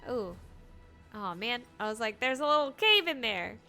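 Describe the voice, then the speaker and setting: Female game streamer, bright and slightly high, casual expressive commentary to herself, light music behind. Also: moderate pace. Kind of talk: casual live gameplay commentary.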